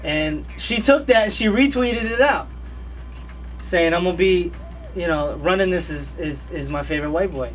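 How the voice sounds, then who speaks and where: Brief: high-pitched man, radio guest, slangy and repetitive, a little off-mic. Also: normal speed, recorded from a distance, soft background music. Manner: laid-back, animated, slangy, repeats phrases. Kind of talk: casual radio interview chat. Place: radio studio.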